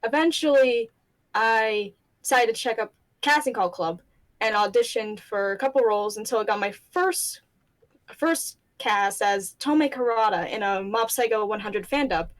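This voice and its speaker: A woman's voice: on the high side, lively intonation, moderate pace, poor audio. A young woman, a hobby voice actor, rambling casually with a few giggles on a panel.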